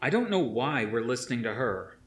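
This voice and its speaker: A man's voice: mid-range voice, very animated, brisk, a bit bright, voice pushed up. A man voicing a skeptical male companion in an audiobook, impatient and full of complaint. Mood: complaining. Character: whiny, skeptical male companion who questions everything.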